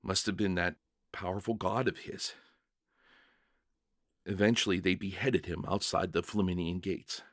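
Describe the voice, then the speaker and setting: A man's voice: sits low, fairly even intonation, unhurried, long pauses, fairly bright tone, no echo, clean studio sound, pitched below the performer's own voice, full character voice. A man playing a bewildered, earnest jailer who tells his story in the first person with dramatic, stammering emotion. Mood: reflective, moved. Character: young-hearted jailer narrator, bewildered, earnest, emotional father.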